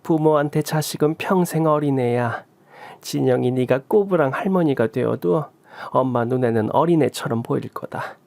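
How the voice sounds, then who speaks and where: A man voicing an older mother-in-law who soothes and gently teases. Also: mid-range voice, moderate pace, gravelly, no echo, close to an everyday voice. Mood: soothing. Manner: warm, soothing, teasing.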